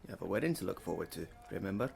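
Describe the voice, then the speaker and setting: An actor playing a kindly, concerned Victorian gentleman lawyer, speaking in a measured, earnest way in a stage drama. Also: low voice, animated, gravelly, a little hiss or hum, heavily acted. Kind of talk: period stage drama acting.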